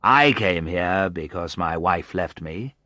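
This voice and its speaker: A man's voice: sits low, animated, takes it slowly, bright, forceful tone, dry recording, clean studio sound, full character voice. A man voicing an agreeable, easygoing British expatriate teacher in an audiobook story, speaking reflectively. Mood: wistful, reflective. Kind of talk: audiobook character dialogue.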